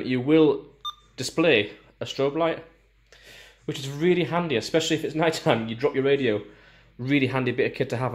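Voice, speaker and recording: A man talks through a piece of gear at a medium pitch. He explains it informally and step by step, pausing and restarting as he demonstrates each part. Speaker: gear reviewer. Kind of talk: casual hands-on product walkthrough.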